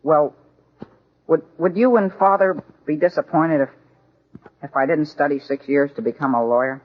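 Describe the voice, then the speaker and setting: An actor playing an earnest, hesitant high school boy who stammers, in 1940s radio comedy style. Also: normal speed, close to an everyday voice. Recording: muffled vintage radio broadcast.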